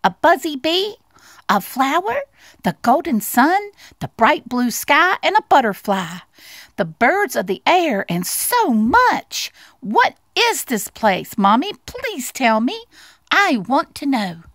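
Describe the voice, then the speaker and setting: A squeaky little storybook mouse, played by a woman, very young and full of wonder. The voice sits high and swings eagerly up and down, always asking and marveling. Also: very animated, a little slow, fairly bright tone, dry recording, clean studio sound, full character voice. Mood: joyful, excited. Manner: eager, wide-eyed questioning. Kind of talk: storybook character voice.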